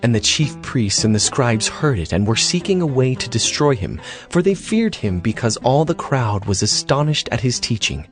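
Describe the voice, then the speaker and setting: A man narrates scripture from a script. His mid-pitched voice rises and falls expressively as he tells the story. Quiet music plays behind him. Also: very animated, normal speed, hardly any pauses.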